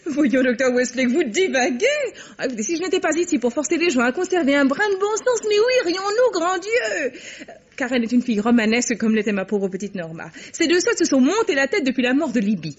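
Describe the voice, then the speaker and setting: A woman's voice: sits high, sing-song, a little fast. An actress playing a fussy, chattering middle-aged society mother who talks in an affected, gushing way, laughing as she speaks, in an old radio play. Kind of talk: 1950s radio drama acting. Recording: dull old radio recording.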